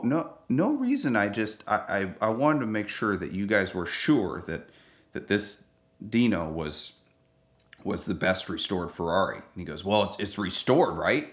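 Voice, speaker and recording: Guy with a really deep voice telling a story, acts out all the back and forth. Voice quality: low voice. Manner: animated, acts out the dialogue in his story. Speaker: car owner telling a story. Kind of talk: casual storytelling.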